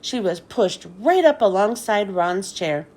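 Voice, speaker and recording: A woman reading a children's story aloud as scripted narration, with expressive, deliberate delivery. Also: mid-range voice. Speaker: children's book read-aloud host.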